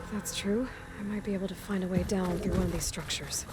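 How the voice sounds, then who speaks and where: A woman's voice: medium pitch, fairly even intonation, quick pace, loud music behind the voice, close to an everyday voice. An actress playing a resourceful, level-headed young woman explorer in a video game, thinking aloud in a matter-of-fact way. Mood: focused, curious. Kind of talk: video game dialogue, musing aloud to herself.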